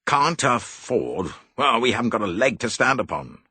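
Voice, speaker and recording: A man voicing a stiff, irritable gentleman who answers grudgingly. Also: extremely low pitch, sing-song, measured pace, creaky, slightly rough, dry recording, heavily acted. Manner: stiff and irritable, with hesitant ums.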